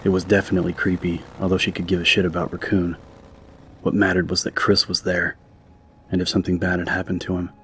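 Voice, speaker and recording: A man's voice: deep voice, completely flat delivery, quick pace, a bit bright. A man narrating an audiobook from a script in a dramatic story style.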